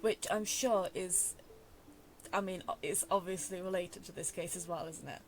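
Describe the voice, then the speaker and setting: A woman's voice: fairly low pitch, normal speed, low-quality recording. A young woman giving casual let's play commentary, rambling and thinking aloud. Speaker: gamer commenting on her playthrough.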